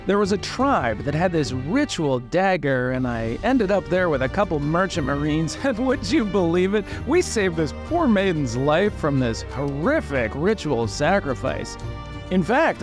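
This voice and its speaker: A man voicing an old, boastful, eager antiques seller in a tabletop game, rambling through his sales patter. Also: fairly high pitch. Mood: boastful storytelling.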